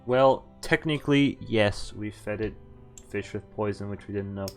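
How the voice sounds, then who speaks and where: A man with a fairly low voice streams a game. He reads dialogue aloud and comments casually as he goes, informal and sweary, with music behind him. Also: moderate pace.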